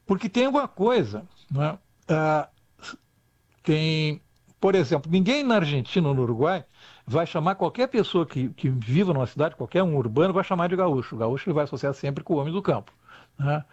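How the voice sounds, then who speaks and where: A man's voice: fairly high pitch, lively intonation, moderate pace, darkish tone, no echo. An older man from Brazil, a guest talking in a rambling way, restarting often and adding "tá?" tags. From Rio Grande do Sul, Brazil. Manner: rambling, lots of restarts and "tá?" tag questions. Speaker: guest expert. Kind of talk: conversational interview. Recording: dull lo-fi dry sound.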